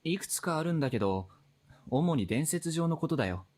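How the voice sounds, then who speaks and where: A man's voice: fairly high pitch, sing-song, racing, a little mellow. An actor playing a probing but polite and apologetic male investigator in a Japanese visual novel.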